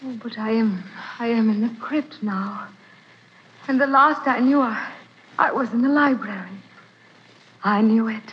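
A woman's voice: fairly high pitch, a little slow, long pauses, long reverb tail, slight background noise, lightly acted. An actress playing a frightened woman who insists a jackal god passes through walls, in a 1940s radio drama. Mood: fearful. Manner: fearful, breathless insistence. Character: frightened woman at a museum, in awe of Anubis. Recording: dull old 1940s radio broadcast.

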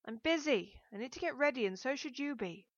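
A woman's voice: mid-range voice, brisk, fairly bright tone, dry recording, muffled recording, lightly acted. A woman voicing a headstrong, sarcastic woman of twenty-four in an audiobook, firm, curt and irritated.

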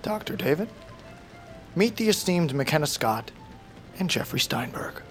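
A showy male survivalist guru, teasing and exuberant. His highish voice takes its time and moves in big swings, over clear music in an audio drama. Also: very animated, measured pace. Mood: jovial. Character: brash, jokey male survivalist breathing guru.

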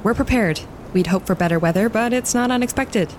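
An actress playing an earnest, composed wilderness photographer on expedition, matter-of-fact and measured, in a naturalistic audio drama. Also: female voice, a little mellow, lightly acted. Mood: assured. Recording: clear outdoor ambience and rumble.

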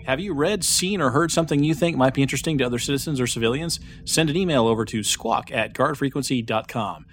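A man's voice: mid-range voice, quick pace, few pauses, slight background noise. A man co-hosting a podcast, bantering casually and joking, trailing off with fillers. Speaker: podcast co-host.

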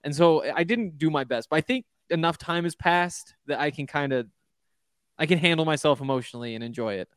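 A man's voice: on the high side, fairly quick, clear, resonant tone, no room sound, clean studio sound. A man from Montana co-hosting a casual sports betting chat over a video call, wry and self-deprecating as he rambles about his teams. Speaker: podcast co-host.

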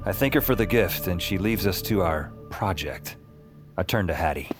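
A wry, tired young adventurer in a fiction podcast. His voice sits very low, moving between story narration and casual talk, with music clearly behind it. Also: animated, normal speed. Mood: narrating, wry. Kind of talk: audio drama narration and casual dialogue.

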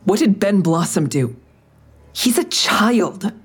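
An actress playing a hard-edged, skeptical woman interrogating an enemy in an audio drama, with a husky voice and terse, pointed, scornful questions. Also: mid-range voice, big pitch swings, takes it slowly, heavily acted. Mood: probing. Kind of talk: full-cast audio drama acting.

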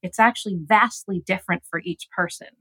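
A woman speaking as an expert guest on a radio interview, explaining ideas in an articulate, measured way. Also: lowish voice, pushed and bright, breathy edge, dry recording. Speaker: gerontologist guest.

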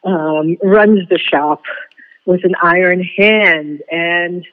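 A woman's voice: on the low side, slow pace. A woman playwright giving a thoughtful, articulate, reflective interview. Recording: thin phone-like line.

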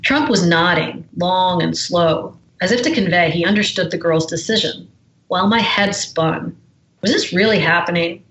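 A woman's voice: on the low side, moderate pace, fairly bright tone, reverberant, a little hiss or hum, low-quality recording. A woman guest who is an author, speaking in a forthright, indignant way and reading from her memoir. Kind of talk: reading aloud from her memoir, then conversation.

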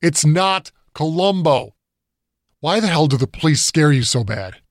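A man's voice: a little high, expressive, a little slow, dry recording, studio quality, voice pushed up. A man voicing a frightened, infected man who talks tense and blunt.

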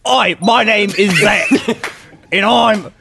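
A man improvising a goofy dating-profile bit, switching his voice and shouting. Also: high-pitched, takes it slowly, vocal fry, over a noisy crowd. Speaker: panelist.

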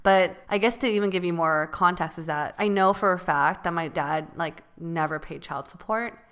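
A woman's voice: on the low side, normal speed, a bit bright, clear, resonant tone. A young woman co-hosting a podcast, chatting casually and filling her talk with "like". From California. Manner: chatty, fills with "like" often. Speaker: podcast co-host.

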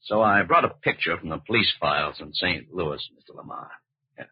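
Calm male FBI investigator, rough-grained and reassuring, old radio crime show, muffled and dry. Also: low voice, fairly quick, no echo, heavily acted. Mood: calm, firm. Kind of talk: old-time radio crime drama acting.

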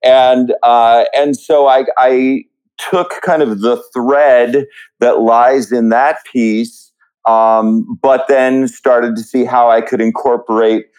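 A man answering as an interview guest, reflective and hesitant, often halting as he thinks. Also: mid-range voice, fairly even intonation, darkish tone, clear, resonant tone, rough recording. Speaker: interview guest, musical book writer.

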